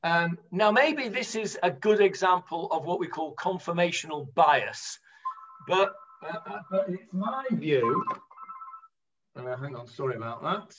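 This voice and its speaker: A man's voice: fairly high pitch, expressive, unhurried, background noise. An older man leading an online Talmud study class, explaining with many fillers and restarts. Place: home room, on a video call. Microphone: computer mic on a video call. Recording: dull lo-fi video call audio.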